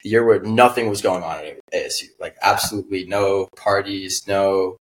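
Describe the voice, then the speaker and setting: A man's voice: low-pitched, fairly even intonation, moderate pace, poor audio. A man in his twenties from Staten Island, chatting casually as a guest, laid-back and rambling with lots of fillers. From Staten Island, New York. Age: 20s. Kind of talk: casual chat.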